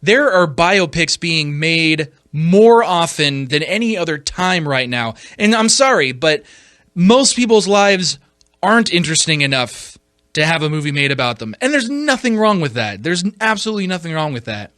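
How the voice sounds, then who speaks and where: A man with a fairly high, sharp-edged voice recorded close and dry. He speaks as a podcast host giving his opinions on films. His delivery is emphatic and combative, with halting repeats and pointed rhetorical questions. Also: big pitch swings, no echo.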